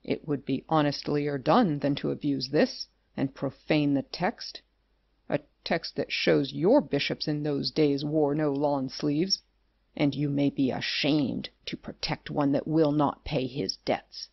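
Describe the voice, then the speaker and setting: A dry, lo-fi audio play voice with heavy gravel in it. A man acts a pious creditor, scolding and indignant, in theatrical period speech. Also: on the high side, fairly even intonation, measured pace, no room sound. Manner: righteous, scolding. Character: indignant, pious creditor demanding his money. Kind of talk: theatrical period comedy acting.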